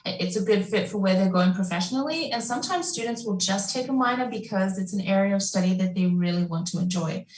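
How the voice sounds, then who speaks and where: A woman's voice: mid-range voice, animated, fast, few pauses, darkish tone. A woman advisor answering a student question on a panel in an upbeat, friendly, explanatory way. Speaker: academic advisor. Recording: echoey room, distant mic.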